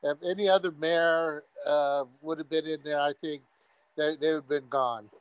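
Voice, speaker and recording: A man calling in to a sports show, talking haltingly with lots of fillers and repeated words. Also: a little high, fairly even intonation, smooth, no room sound, highs cut off. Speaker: caller. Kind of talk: phone-in chat.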